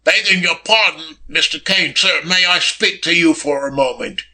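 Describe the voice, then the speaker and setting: A man's voice: a little high, moderate pace, pushed and bright, pitched above the performer's own voice. A man voicing a nervous, deferential footman in an audiobook, with a quavering, hesitant delivery. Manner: hesitant, obsequious, stammering. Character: nervous, deferential male footman, pleading servant.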